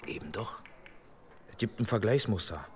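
Lively ballistics man. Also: lowish voice, normal speed, breathy edge. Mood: pleased, informative. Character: chatty, wry male police ballistics expert. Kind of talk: radio drama acting.

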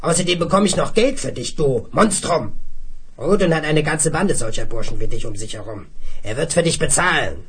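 A man playing a brutal, greedy adoptive father in a 1970s radio play, gruff, sneering and bullying. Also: fairly high pitch, animated, normal speed, a bit bright, exaggerated voice. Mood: scornful, menacing.

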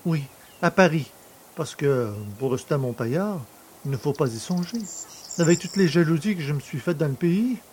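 Plaintive, higher-voiced hapless poacher acted by a man. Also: lively intonation, normal speed, a little mellow, slight background noise, natural voice. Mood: downcast, pleading. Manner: plaintive, theatrical pleading. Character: hapless, pleading male poacher wronged by justice, self-pitying. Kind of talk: French audio drama acting.

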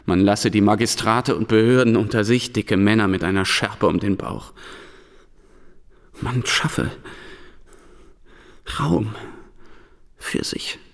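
An actor in a German radio play voicing a disillusioned, brooding schoolteacher back from war, speaking in impassioned, halting phrases. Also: male voice, on the low side, gravelly, long reverb tail. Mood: grave, resolved. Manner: impassioned, halting rhetorical questions and short phrases.

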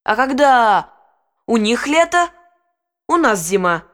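Thoughtful youngster, high pitch, inquisitive, eager to learn, educational audio play. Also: female voice, sing-song, slow, fairly bright tone, exaggerated voice. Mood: pondering. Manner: pondering, inquisitive.

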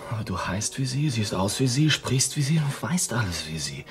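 A man's voice: mid-range voice, sing-song, normal speed. An actor playing an earnest, lovestruck man in a romantic radio drama, speaking solemnly and intimately. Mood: baffled, searching. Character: earnest, lovestruck man reunited with his lover.

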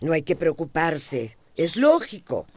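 A woman's voice: low-pitched, big pitch swings, measured pace, a little mellow, breathy edge, full character voice. An actress playing a stubborn, bossy older woman with a Central American Spanish accent in a radio soap opera, insistent and dismissive. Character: stubborn, bossy older matriarch, proud of her house.

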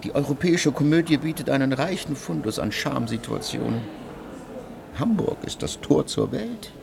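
An actor playing an aging male jurist who coolly justifies himself in measured, lecturing speech, in a radio drama. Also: mid-range voice, expressive, creaky, noisy background.

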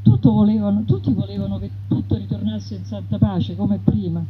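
A woman's voice: medium pitch, fairly even intonation, a little fast, natural voice. A woman voicing a plainspoken, bitter peasant woman bandit, telling her story in an earthy, emphatic way. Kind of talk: theatrical first-person storytelling. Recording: dull radio sound with background noise.

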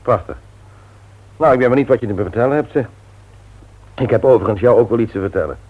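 An actor playing an eager, teasing, ambitious young husband in a theatrical, mock-pompous 1960s radio serial style. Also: male voice, medium pitch, quick pace, natural voice. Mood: cheerful, proud. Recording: dull vintage radio drama recording.

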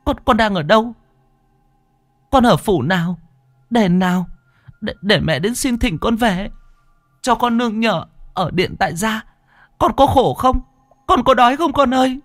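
A man voicing a grieving mother, a woman spirit medium, who pleads and stammers in a high voice. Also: animated, normal speed, no room sound, studio quality, faint music behind. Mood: pleading.

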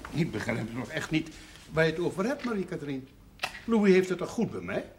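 An actor playing a proud, plain-spoken village brewer and father in a period television drama, with a husky voice. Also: on the high side, sing-song, fairly quick, slight background noise. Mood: puzzled, guarded. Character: firm, proud rural brewer and devoted father. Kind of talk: 1970s Dutch television period drama acting.

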